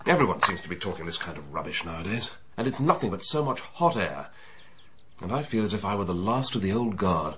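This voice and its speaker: An actor playing an older, complacent upper-class English banker in a radio drama, genial, assured and bluff, with a well-bred English accent. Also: male voice, low-pitched, sing-song, brisk, noisy background. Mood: firm, dismissive.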